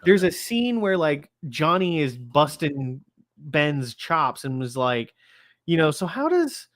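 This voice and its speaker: A man's voice: fairly high pitch, slow pace, dry recording, clean studio sound. A man from the Bahamas chatting casually in a film review, telling stories animatedly and acting out quotes. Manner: animated storyteller who acts out quotes. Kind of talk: casual chat.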